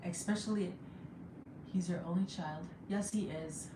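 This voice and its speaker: A young woman with a Jamaican accent chatting casually on a home livestream, laughing often and sometimes breaking into excited high exclamations. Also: unhurried. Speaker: livestreamer and mother. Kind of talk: casual live chat. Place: home room. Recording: echoey room with background noise.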